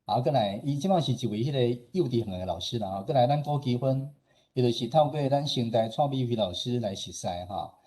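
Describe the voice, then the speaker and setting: A man with a Taiwanese accent and husky voice, giving an informal presentation as a radio host. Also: medium pitch, lively intonation, brisk, warm tone. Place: online meeting presentation.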